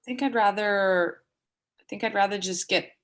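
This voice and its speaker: A woman's voice: mid-range voice, lightly acted. A woman acting a blunt, brittle, witty woman. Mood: hesitant, subdued. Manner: halting, blunt, sweary. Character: sharp, foul-mouthed woman, bitterly witty, brittle and vulnerable. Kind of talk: dramatic reading of a stage play dialogue.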